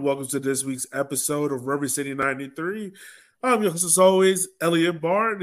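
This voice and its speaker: Guy w a kinda high voice hosting a sports podcast, casual rueful fan chat. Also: a bit bright. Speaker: podcast host.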